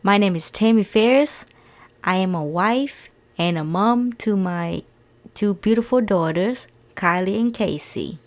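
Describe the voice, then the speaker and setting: A woman's voice: mid-range voice, unhurried. A woman from Vietnam with a Vietnamese accent and a light Southern US twang, earnestly hosting a solo podcast in slightly non-native English. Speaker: podcast host.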